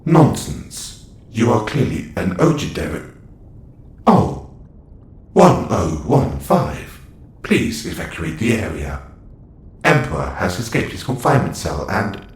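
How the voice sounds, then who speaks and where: A man's voice: lowish voice, sing-song, takes it slowly, long pauses, echoey room. A man playing a formal, scolding robot warden in an audio roleplay, with clipped phrasing. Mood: alarmed, scolding. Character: stern, formal male-voiced robot prison warden.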